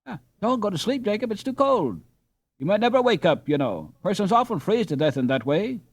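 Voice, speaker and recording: A man puts on an excitable boy from a children's adventure story. His delivery is exclamatory, raised in pitch and very animated, in a dry close recording. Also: a little fast, no echo, heavily acted. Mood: alarmed. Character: excitable English schoolboy, eager and easily alarmed.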